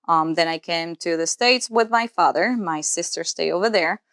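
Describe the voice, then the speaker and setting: A woman's voice: lowish voice, normal speed, fairly bright tone, no room sound, studio quality. A woman in her twenties, born in Cuba, co-hosting a podcast in an earnest, casual way, thinking aloud as she goes. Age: 20s. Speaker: podcast co-host, nurse practitioner.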